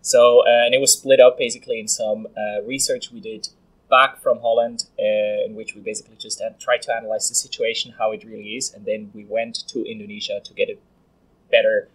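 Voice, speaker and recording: A young man from Switzerland with a fairly low, husky voice. He explains things in a casual, conversational way as an interview guest. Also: little pitch movement, a little fast, poor audio. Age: young adult. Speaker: podcast guest.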